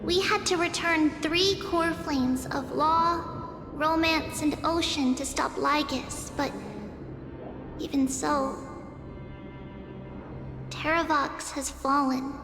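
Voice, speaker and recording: A woman voicing an earnest young heroine in a game, planning deliberately to save her doomed world. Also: high voice, fairly even intonation. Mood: grave, resolute. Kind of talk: game voice acting. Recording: echoey long reverb, clear music behind.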